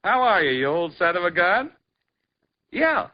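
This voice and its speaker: An actor playing a breezy, boastful ladies' man in a 1940s radio comedy, talking fast and showing off. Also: sits high, sing-song. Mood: cheerful. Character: smooth adult male ladies' man, boastful. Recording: dull old radio broadcast with hiss.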